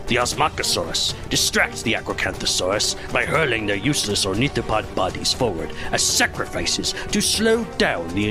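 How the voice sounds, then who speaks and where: A man narrating an overly dramatic audiodrama in a solemn, theatrical, mock-epic style. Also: on the low side. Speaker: podcast host narrating an audiodrama.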